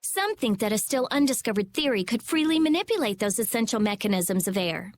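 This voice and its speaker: Intense, clever teenage mage in a game, high animated voice. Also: female voice, a little fast. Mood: intent. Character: fiery, intense teenage girl mage, brainy and stubborn. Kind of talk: anime-style video game dialogue.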